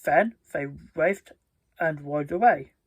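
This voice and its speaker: A storybook reader and video host with a halting, careful delivery and stumbles, reading aloud in a husky voice. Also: mid-range voice, no room sound. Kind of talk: read-aloud storybook narration.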